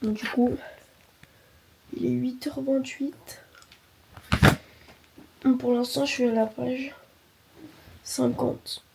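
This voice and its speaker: A young woman vlogging casually while sick with a cold and sore throat, speaking softly and sometimes dropping to a whisper. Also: on the high side, steady tone, takes it slowly, gravelly, long pauses, recorded from a distance, background noise. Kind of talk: casual vlog talk to camera.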